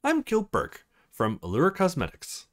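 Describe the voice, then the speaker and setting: A man's voice: sits high, wildly swooping pitch, unhurried, pitched above the performer's own voice, heavily acted. A man voicing a polite, businesslike male company representative in a visual novel.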